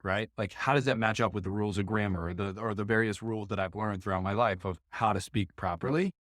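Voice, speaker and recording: Low-voiced man hosting a podcast. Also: fairly even intonation, fast, a bit bright, no echo, clean studio sound. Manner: rambling, searching for words, many "right?"s. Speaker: podcast host. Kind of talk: podcast interview, reflective questioning.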